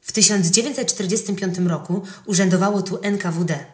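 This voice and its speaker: A woman's voice: medium pitch, steady tone, a little fast, a little mellow. A woman reading a scripted history podcast narration. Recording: roomy, echoing voice recording.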